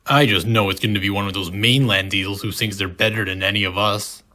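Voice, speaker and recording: A man's voice: fairly low pitch, steady tone, brisk, bright, forceful tone. A man voicing a pompous, grumbling big steam engine in a cartoon dub. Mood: disdainful. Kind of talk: animated character dub.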